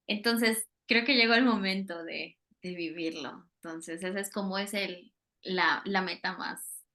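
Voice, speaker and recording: A woman's voice: mid-range voice, pushed and bright. A Colombian woman, a Spanish teacher, talking casually in an interview over a video call, hesitating with many fillers.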